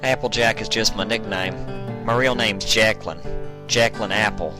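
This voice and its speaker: A man's voice: fairly low pitch, steady tone, moderate pace, pitched above the performer's own voice, natural voice. A man plays a young female farm pony in a western storybook. The voice is lifted well above his own and comes out eager and chatty, headstrong and honest. Music plays behind the lines. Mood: chatty, relaxed. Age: young adult.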